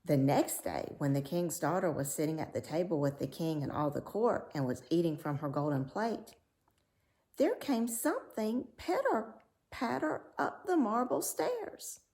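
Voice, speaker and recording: A woman reading a fairy tale aloud as a storyteller, with measured, dramatic delivery. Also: lowish voice, big pitch swings, a little mellow.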